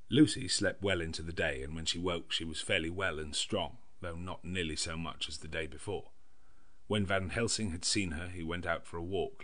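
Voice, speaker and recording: Deep-voiced male audiobook narrator. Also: bright, forceful tone. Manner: measured, literary, diary-like delivery. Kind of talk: scripted narration of a gothic novel.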